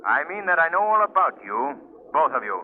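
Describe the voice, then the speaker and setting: An actor playing an invisible avenger in a 1940s radio drama, coolly menacing, formal and mocking. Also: male voice, a little high, sing-song, fairly quick, slight background noise. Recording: tinny 1940s radio recording.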